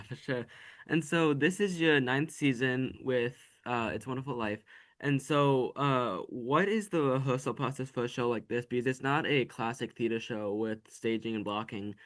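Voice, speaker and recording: A man hosting a podcast, asking prepared questions in a casual, polite and slightly halting way. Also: medium pitch. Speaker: podcast host, interviewer.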